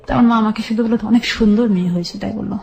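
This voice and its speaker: A Bangladeshi woman telling her life story as an interview guest, recounting it in halting detail and repeating phrases as she goes. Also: medium pitch, fairly even intonation, gravelly, a little mellow.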